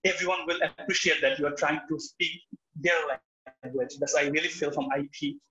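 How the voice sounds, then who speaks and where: A young man from Bangladesh with a Bangladeshi accent, speaking earnestly and haltingly with many restarts on a video call from a small room, sharing his student experience. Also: a little high, steady tone, bright, forceful tone. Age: young adult. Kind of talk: webinar talk. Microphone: laptop webcam on a video call. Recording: echoey, dull video call audio.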